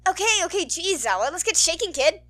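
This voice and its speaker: A woman voicing a loud, friendly male announcer hero in an amateur story reading, with an exuberant, chatty, slangy manner. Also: very high-pitched, very animated, brisk, voice pushed up, heavily acted. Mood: hurried, eager.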